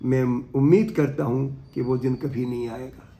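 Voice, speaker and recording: An older man speaks like a professor addressing listeners, earnest and deliberate, as in reading out a speech. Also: mid-range voice, steady tone, vocal fry, warm tone. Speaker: professor giving a talk. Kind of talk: reading a historical speech aloud, then earnest commentary.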